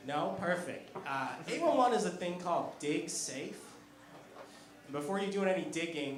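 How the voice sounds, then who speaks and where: An American man in his thirties doing a chatty stand-up set, teasing the audience on a comedy club stage. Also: a little high. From United States. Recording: echoey club room with audience laughter.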